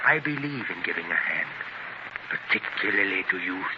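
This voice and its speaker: On a scratchy, narrow-band old radio recording, a proud newspaper publisher who is ailing and half blind. His lowish voice is emphatic and declamatory, full of stubborn determination in the manner of 1930s radio drama. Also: animated, moderate pace, creaky. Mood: earnest, idealistic.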